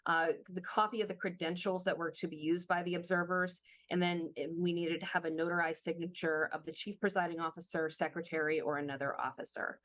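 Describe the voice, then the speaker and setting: A woman's voice: lowish voice, steady tone, brisk, few pauses, a bit bright, no room sound. A woman who is losing her voice a little, presenting slides in a workshop over a video call and explaining steps with many fillers and restarts. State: slightly hoarse, losing her voice. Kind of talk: webinar presentation walking through slides.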